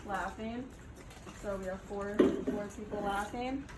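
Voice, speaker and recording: A woman's voice: medium pitch, fairly even intonation. Woman reading aloud, roomy and distant. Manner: pauses to prompt and affirm listeners. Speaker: teacher reading aloud to children. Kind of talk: picture book read-aloud with questions to children. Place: echoey room with children. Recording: roomy, distant mic, background noise.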